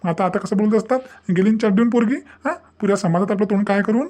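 Fast, scolding Varhadi village man played by a man. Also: high voice, a little mellow, lightly acted. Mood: angry, blaming. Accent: Varhadi Marathi. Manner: insistent, scolding, full of rhetorical questions. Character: indignant village man, proud of family honour. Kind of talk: amateur cartoon family drama dialogue.

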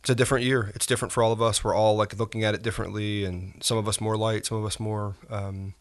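A pastor in his forties, a man telling his story softly in a reflective interview, pausing often with fillers. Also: fairly low pitch, level tone. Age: 40s. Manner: soft-spoken, halting with frequent fillers. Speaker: pastor and podcast guest.

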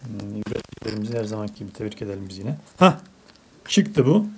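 Low-voiced woman chatting over toys. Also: expressive, quick pace, background noise. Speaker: parent hosting toy unboxing videos. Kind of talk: casual narration for children, chatting with a child. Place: indoors at a table.

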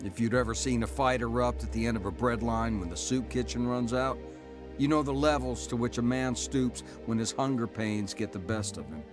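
A man's voice: mid-range voice, moderate pace, close to an everyday voice. An actor playing a grown man narrating his hard childhood in a reflective, matter-of-fact way. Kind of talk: scripted first-person drama narration. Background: music.

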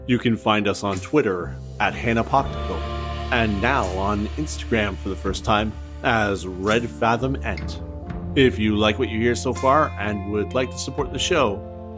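A male announcer delivers scripted show credits and a support pitch. His voice sits on the low side. Plucked guitar plays clearly underneath. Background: plucked guitar music.

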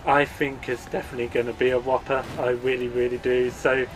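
A man's voice: medium pitch, little pitch movement, fairly quick, a little hiss or hum, rough recording. A man hosting a gaming channel, giving an earnest, upbeat channel update read as a voice-over.

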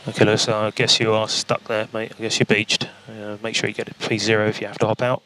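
A man giving calm, matter-of-fact, terse instructions to a driver over team radio as a race engineer. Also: fairly low pitch, quick pace, low-quality recording. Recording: team radio with faint background noise.

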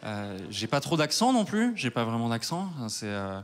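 A man in his thirties from Switzerland doing a stand-up set on a large theater stage with a handheld mic. He talks in a wry, self-mocking way and works up to loud mock outrage. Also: medium pitch, sing-song, moderate pace. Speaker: stand-up comedian. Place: large theater stage before a live audience.

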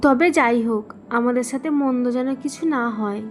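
A woman voicing a caring, self-sacrificing wife and mother in a cartoon, with a gentle, anxious manner. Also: on the high side, brisk, faint music behind, natural voice. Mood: concerned. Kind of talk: Bengali cartoon voice acting.

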